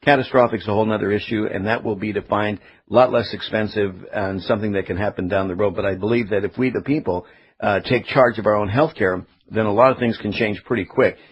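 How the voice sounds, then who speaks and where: A middle-aged man hosting live talk radio, speaking in a halting, opinionated, conversational way. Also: fairly low pitch, fairly even intonation, breathy edge. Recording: dull, lo-fi radio broadcast audio.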